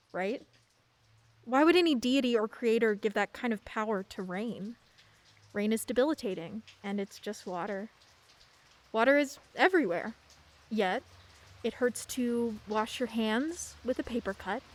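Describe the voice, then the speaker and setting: A woman's voice: fairly high pitch, lightly acted. A woman playing a sulky teenage daughter. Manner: brooding and curt. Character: sullen teenage daughter, moody and blunt. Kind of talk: radio play acting with inner monologue.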